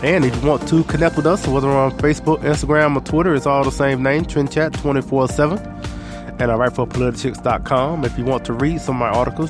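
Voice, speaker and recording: Congested American man hosting a casual podcast. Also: medium pitch. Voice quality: stuffy, congested nose. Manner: self-deprecating, chuckles at himself. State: stuffed up with allergies. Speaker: podcast host. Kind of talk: casual rambling podcast monologue.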